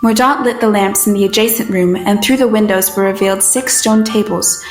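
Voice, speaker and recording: A woman acting as an observant, uneasy but determined heroine who narrates an audio drama in a measured, literary manner. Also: medium pitch, echoey room, a little hiss or hum, lightly acted. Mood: measured narration. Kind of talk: first-person scripted narration in an audio drama.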